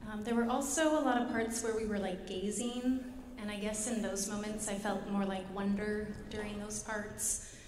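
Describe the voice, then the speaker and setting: A woman's voice: medium pitch, fairly even intonation, normal speed, darkish tone. A young woman, a dancer, explaining casually on a panel. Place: large concert hall stage. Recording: echoey concert hall, faint noise.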